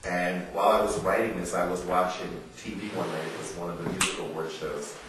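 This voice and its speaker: A man's voice: deep voice, flat delivery, a little fast. An American man, an author, giving an earnest, emphatic public book reading mixed with informal remarks to his audience. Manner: earnest, emphatic, rhetorical questions. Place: indoor literary salon before an audience. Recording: roomy echoing live venue, distant mic, faint noise.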